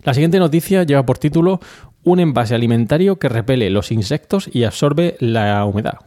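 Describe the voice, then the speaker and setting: A man from Murcia with a Spanish accent from Spain presents a podcast. His voice is medium in pitch with a soft, dark, relaxed tone. He comments on news items conversationally, explaining them in plain, informal terms. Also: normal speed. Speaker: podcast host.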